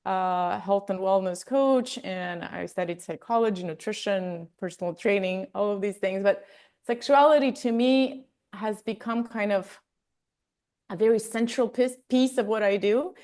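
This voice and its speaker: A woman's voice: mid-range voice, unhurried, a little mellow. A woman from Armenia, a wellness coach and interview guest, telling stories in a chatty, casual way with lots of fillers.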